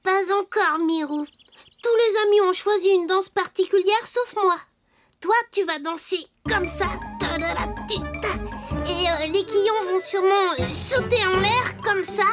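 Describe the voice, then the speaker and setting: Very high, childlike little toy boy. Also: a little slow. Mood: playful, bubbly. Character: cheerful little wooden toy boy, helpful and eager. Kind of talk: children's cartoon voice acting. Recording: loud music behind the voice. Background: children's music.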